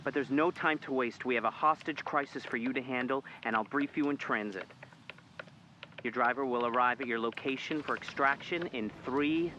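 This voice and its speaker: An actor playing an alert, earnest male spy handler in an interactive audio game, giving directives in a crisp, urgent manner. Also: mid-range voice, normal speed, lo-fi sound, noisy background, close to an everyday voice. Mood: brisk. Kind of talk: scripted interactive game acting.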